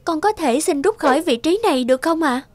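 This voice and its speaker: High-voiced pleading teenage girl. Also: lively intonation, fairly quick, dry recording. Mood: timid pleading. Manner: timid, pleading. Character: pleading sixteen-year-old village girl, meek and sorrowful. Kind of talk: animated fairy-tale acting. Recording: music behind the voice.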